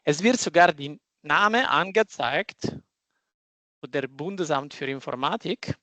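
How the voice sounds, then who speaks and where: A man who is not a native German speaker, a social engineer walking through a live demo in halting German with grammar slips. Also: fairly high pitch, animated, bright, forceful tone, no room sound. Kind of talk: live demo in an online talk.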